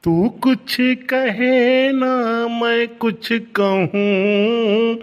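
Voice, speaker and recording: A man's voice: extremely high pitch, fairly even intonation, very slow, clear, resonant tone, lo-fi sound, faint music behind, heavily acted. A man voicing a lovesick, sentimental village man in a comedy cartoon, pleading devotedly with an Awadhi accent. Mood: tender, singing.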